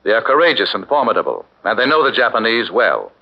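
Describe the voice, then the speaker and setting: A man narrating a 1940s radio documentary drama with a measured, declamatory delivery. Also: lowish voice, sing-song. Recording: muffled 1940s radio broadcast with music.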